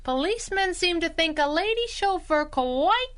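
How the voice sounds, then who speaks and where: Lively, bright-voiced, plucky sidekick. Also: female voice, very high voice. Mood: wry. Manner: wry, sassy, brisk. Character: plucky, teasing young lady chauffeur sidekick. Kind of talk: 1930s-style radio drama acting.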